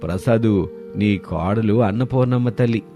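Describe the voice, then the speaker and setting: A man's voice: fairly low pitch, moderate pace, mellow, soft background music, close to an everyday voice. A man voicing a hearty, food-loving farmer elder who exclaims and gives orders in a cartoon village tale. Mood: delighted. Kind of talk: dramatised moral story dialogue.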